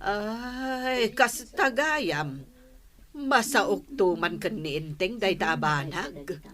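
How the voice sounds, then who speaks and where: A woman's voice: medium pitch, lively intonation, takes it slowly, a bit bright. A woman with an Ilocano accent acting a probing, no-nonsense village woman in a comedy radio drama.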